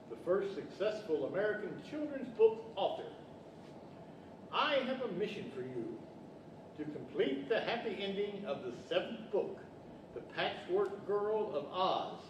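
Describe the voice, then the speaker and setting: A man in his sixties playing a formal, bookish guide who explains in a measured, theatrical way, in 1940s radio-theater style. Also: high voice. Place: large library hall, live stage performance. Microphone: stand-mounted condenser microphone. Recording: echoey hall, distant mic, background noise.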